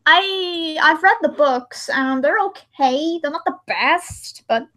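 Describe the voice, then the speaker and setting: A woman hosting a casual livestream, chatting in an expressive, exclamatory and easily distracted way. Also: high-pitched, slow pace. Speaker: livestream host editing videos.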